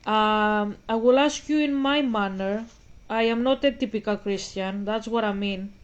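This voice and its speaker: A woman's voice: medium pitch, a little slow, smooth, dull audio. A woman of twenty-five from Greece, speaking English in rambling, self-interrupting casual commentary aimed straight at her viewers. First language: Greek. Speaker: vlogger.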